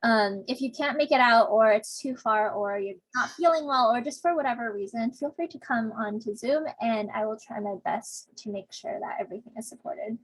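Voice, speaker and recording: Young woman TA on a video call, chatty and self-deprecating, slightly high husky voice, dry sound. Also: normal speed, few pauses, no room sound, poor audio. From Southern California. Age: young adult. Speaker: teaching assistant. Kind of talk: casual online discussion section intro.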